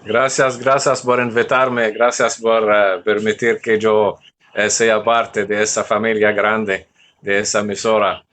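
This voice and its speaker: A middle-aged man from Jordan, answering interview questions in a relaxed way with frequent fillers. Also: fairly low pitch, a little slow. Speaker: guest. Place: mosque.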